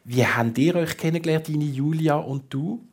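A man's voice: a little high, moderate pace, breathy edge. A man with a Swiss German accent hosting a conversational interview, asking curious questions and chipping in with short acknowledgements. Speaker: talk show host.

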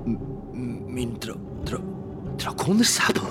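A desperate, stammering mill owner in a horror audio play, pleads in Swiss German. The voice is creaky and lurches widely in pitch, with music clearly behind it. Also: male voice, big pitch swings, slow pace, heavily acted. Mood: frightened pleading. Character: terrified wealthy sawmill owner, male, pleading for his life. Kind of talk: horror radio drama acting.

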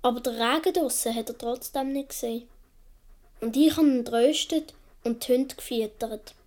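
A woman's voice: a little high, moderate pace, darkish tone, natural voice. A woman with a Swiss accent playing a naive young boy of seven or eight, telling his story in a radio play. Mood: wistful, matter-of-fact.

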